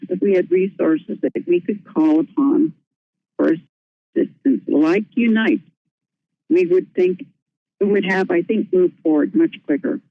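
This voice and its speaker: A woman's voice: lowish voice, vocal fry, no room sound. A woman giving a prepared presentation as a nursing home administrator, earnest and careful, with small restarts. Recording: tinny phone-line audio.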